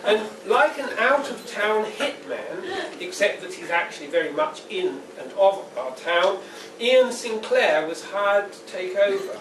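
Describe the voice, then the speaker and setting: A man speaking as a poet at a live reading, with a deliberate, dry and wry delivery, recorded indoors at a distance. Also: high-pitched, expressive. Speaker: poet reading at a book launch. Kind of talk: public poetry reading with informal introduction. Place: indoor pub venue at a live launch event. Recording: echoey, noisy live room, distant mic.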